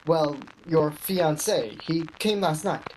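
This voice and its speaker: Woman playing a low-voiced, bashful male shop clerk. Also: a little mellow, a little hiss or hum, poor audio. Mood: hesitant, coy. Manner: halting, bashful, understated. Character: awkward, slyly teasing male shop clerk in love. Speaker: amateur actor. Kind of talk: radio play acting.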